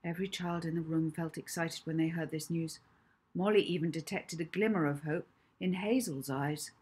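A middle-aged woman narrates a children's book. Her voice is fairly low, with a husky, breathy edge. She reads expressively and deliberately, leaving pauses between phrases. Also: fairly even intonation, darkish tone. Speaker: author reading own book.

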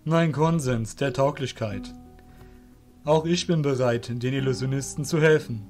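A grave fairy councillor acted by a man weighs every word slowly and formally. Music sits softly behind him in a fantasy audio drama. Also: medium pitch, natural voice. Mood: grave, approving. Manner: slow, drawn-out, formal. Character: male fairy essence master, grave councillor.

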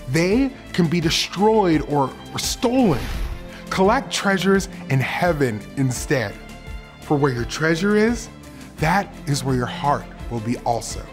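A woman reading a children's Bible story narration in a calm, measured way. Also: low voice.

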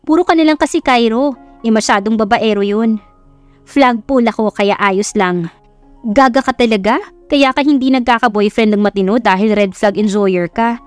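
A woman's voice: a little high, normal speed, no room sound, soft background music, lightly acted. A woman with a Filipino accent voicing a blunt, teasing young best friend in an audiobook, slangy and exasperated.

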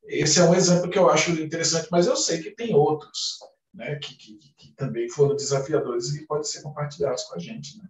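A man's voice: on the high side, fairly quick, recorded from a distance. A man moderating a webinar on a video call, hesitant and full of fillers. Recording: roomy sound from off mic.

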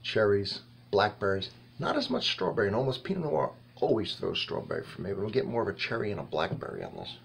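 Gravelly low-voiced man, casual and halting. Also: wildly swooping pitch, slight background noise. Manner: halting, rambling, thinking aloud. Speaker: wine reviewer and host. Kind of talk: casual, off-the-cuff tasting review to camera. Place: small indoor home bar room.

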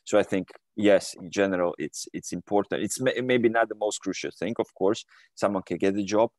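A man's voice: lowish voice, moderate pace, darkish tone, no room sound. A man in his thirties from Croatia, answering interview questions on a video call from a room at home, hesitant with many fillers and restarts. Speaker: guest.

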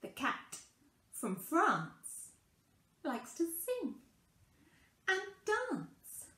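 A young woman reading a children's picture book aloud in a deliberate, sing-song way with dramatic pauses, recorded in a small room at home. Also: very high voice, wildly swooping pitch, pushed and bright, reverberant. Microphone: camera a couple of metres away.

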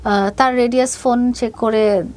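A woman giving a personal account as an interview guest, pausing often and mixing in many English words. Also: on the high side, fairly even intonation, darkish tone. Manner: hesitant pacing, heavy mixing of English words.

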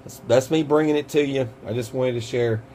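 A man's voice: mid-range voice, a little fast, dark tone, slight background noise. An American man hosting a casual product demo, talking in a folksy, informal, rambling way. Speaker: host of a prepper gear channel. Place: small cluttered indoor room.

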